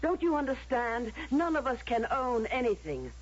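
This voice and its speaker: Cold bossy authority woman, husky, big swoopy declamatory lecture, old radio drama. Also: a little high, very animated, moderate pace. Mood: insistent, persuasive. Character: stern, coldly persuasive authority enforcing mandatory happiness. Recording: dull, lo-fi radio drama recording.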